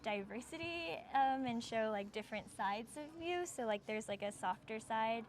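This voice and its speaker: Higher-pitched young American woman, noisy recording. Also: expressive, normal speed. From United States. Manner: informal, frequent 'like' and 'sort of'. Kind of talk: casual explanatory talk. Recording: clear background noise, ambient sound.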